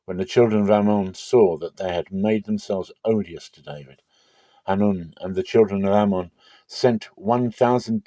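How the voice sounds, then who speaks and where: Male Bible reader, lower-pitched and mellow, expressive and measured, very dry close sound. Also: no room sound. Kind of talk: dramatic scripture reading.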